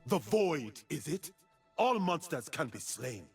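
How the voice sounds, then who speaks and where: A man's voice: on the high side, sing-song, faint music behind, full character voice. A man playing a proud, defiant monster-hunting warrior in a video game, speaking in bold, taunting, declamatory lines. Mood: solemn. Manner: declamatory, taunting, deliberate delivery of short lines.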